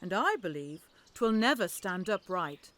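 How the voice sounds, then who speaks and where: A woman's voice: medium pitch, very animated, unhurried, a bit bright. Woman acting sly deferential courtly messenger. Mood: insinuating. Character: sly, courtly political go-between to a lord. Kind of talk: Shakespearean audio drama acting.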